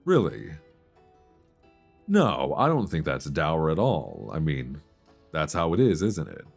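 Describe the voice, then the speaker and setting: Very deep, gravelly, wistful young man, acted. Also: wildly swooping pitch, takes it slowly, full character voice. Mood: wistful, confiding. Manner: hesitant, confiding, conversational with sighs. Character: wistful young man, homesick friend with a secret crush. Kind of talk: intimate romantic audio roleplay, ASMR. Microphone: close binaural microphone. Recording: close binaural recording with soft guitar music.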